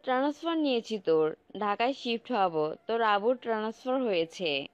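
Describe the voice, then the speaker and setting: A woman's voice: a little high, lively intonation, moderate pace, smooth, voice pushed down, close to an everyday voice. A woman voicing a mother speaking matter-of-factly to her daughter in an audio story. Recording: dull lo-fi, music behind.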